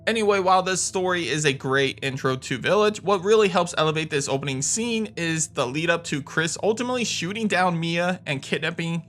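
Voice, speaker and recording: A man's voice: a little high, a little fast, few pauses, fairly bright tone. A man hosting a gaming countdown video, narrating in a conversational, opinionated way. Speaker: host of a gaming video channel. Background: background music.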